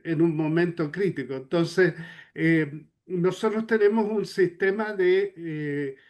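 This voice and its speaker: A man from Chile, asking a panel a long, earnest question about climate action. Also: a little high.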